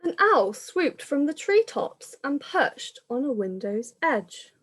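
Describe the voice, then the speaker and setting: A woman, a primary school teacher, reading a picture book aloud to young children in a measured, explanatory manner. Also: high voice, very animated, a bit bright.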